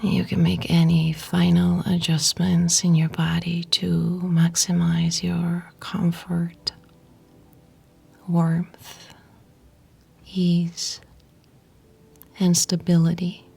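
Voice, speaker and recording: A woman guiding a yoga nidra meditation in a breathy, whispery, husky voice, soothing and unhurried, with pauses between short phrases. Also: on the low side, monotone, a little mellow. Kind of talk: guided meditation narration.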